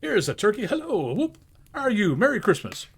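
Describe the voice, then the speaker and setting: An overjoyed old reformed miser from a storybook, acted out by a man, gushing like an excited child. The pitch leaps and swoops freely as he whoops and exclaims breathlessly. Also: a little fast, breathy edge, full character voice. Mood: jubilant. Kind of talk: dramatised storybook reading.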